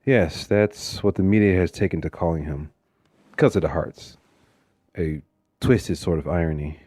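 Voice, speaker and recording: A man's voice: sits low, unhurried, darkish tone, slightly rough, dry recording, studio quality. An actor playing a driven, weary police detective and family man in a suspense audio drama, earnest in manner. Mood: businesslike.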